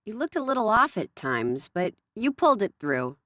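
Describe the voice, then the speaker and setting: An actress playing a businesslike woman who directs a wrestler in a video game story scene, brisk and directive. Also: mid-range voice, very animated, fairly bright tone, no room sound, clean studio sound.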